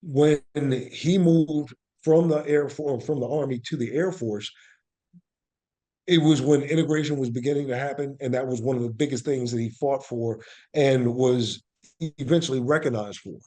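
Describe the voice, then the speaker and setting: A man's voice: mid-range voice, moderate pace, mellow. A man answering as an interview guest, speaking in a reflective, conversational way and explaining earnestly with emphatic repetition. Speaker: interview guest, actor and playwright.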